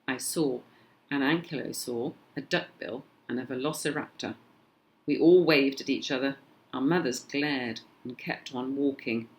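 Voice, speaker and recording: A woman with a British accent reading a children's storybook aloud in an expressive narrating style. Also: extremely low pitch, measured pace, rough recording. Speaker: storybook reader.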